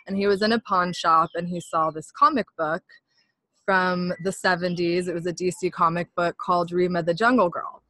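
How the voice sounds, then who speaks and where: A woman's voice: lowish voice, fairly even intonation, normal speed, pushed and bright, no echo. A woman from California, a podcast guest, telling her story earnestly in a casual interview.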